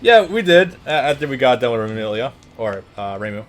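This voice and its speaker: A man from the United States talks as a let's play co-host in loose, casual gaming chatter peppered with swearing. His voice sits at an average pitch, with soft game music playing behind him. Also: very animated, a little fast. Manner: casual and profane. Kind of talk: casual gaming chat and commentary.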